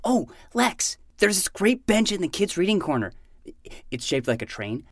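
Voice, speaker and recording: A woman's voice: on the low side, big pitch swings, moderate pace, no echo, studio quality. A woman playing an earnest twelve-year-old boy who talks like a grandiose noir detective, sometimes slipping into childish pleading, in a fiction podcast.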